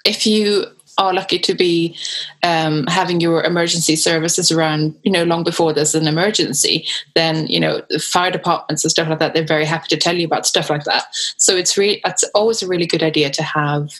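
A woman's voice: lowish voice, steady tone, brisk, fairly bright tone. A woman from Sweden on a discussion panel, chatty and full of fillers. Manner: chatty, many fillers like "you know" and "um".

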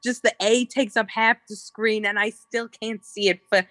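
A woman's voice: fairly high pitch, steady tone, normal speed, bright, forceful tone, no echo. A woman voicing a brash, foul-mouthed, scatterbrained old grandmother in an improvised comedy sketch, rambling crudely between endearments. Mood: pleading, complaining.

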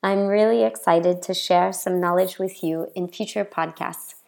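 Creaky-voiced Southern American woman teacher. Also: on the low side, steady tone, moderate pace, darkish tone. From Southern United States. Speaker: English teacher. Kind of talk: scripted podcast self-introduction.

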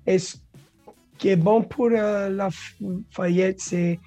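Slow, halting woman. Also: mid-range voice, fairly even intonation, mellow, faint music behind. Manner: halting, restarts words, mixes English into French, laughs often. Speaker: guest. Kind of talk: casual interview talk.

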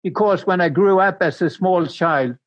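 An older man from Sweden with a Swedish accent, speaking English as a non-native and recounting his life in a halting way, repeating words as he searches for them. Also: high-pitched, no echo, dull audio. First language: Swedish and Danish. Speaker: interview guest.

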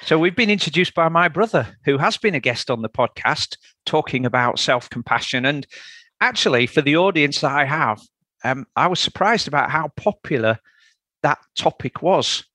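A man's voice: on the high side, lively intonation, normal speed, bright, forceful tone, no room sound. A man with a Yorkshire accent hosting a fitness podcast, chatty and friendly, interviewing a guest. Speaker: podcast host.

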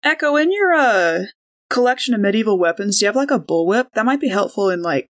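A woman's voice: medium pitch, animated, fast. Woman chatting through a tabletop game. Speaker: tabletop role-playing game player. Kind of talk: casual in-game chat.

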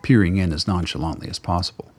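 A man narrating a scripted radio play as a measured, literary storyteller. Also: low-pitched, a little mellow.

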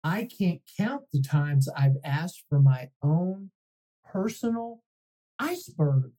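A man voicing an indignant, dramatic pigeon in a storybook read-aloud, pleading and complaining in a theatrical, drawn-out way. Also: on the high side, sing-song, mellow, rough recording. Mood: pleading, boastful.

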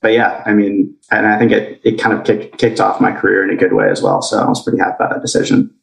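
A young man with an American accent, answering interview questions in a relaxed way with lots of "like" and "kind of". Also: lowish voice, fast talker, gravelly, echoey room, recorded from a distance, low-quality recording. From grew up in Indonesia. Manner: relaxed, uses "like" and "kind of" a lot. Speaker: guest.

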